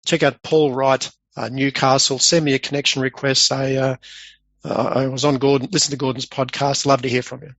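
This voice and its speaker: A man's voice: medium pitch, little pitch movement, a little fast, fairly bright tone, no echo, muffled recording. A man with an Australian accent, a podcast guest talking casually and easily. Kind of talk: casual chat.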